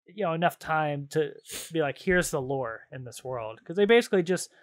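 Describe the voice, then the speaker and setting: A man's voice: a little high, lively intonation, a little fast, no room sound. A man chatting casually and enthusiastically as a podcast co-host, in informal slangy phrasing. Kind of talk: casual chat about games and films.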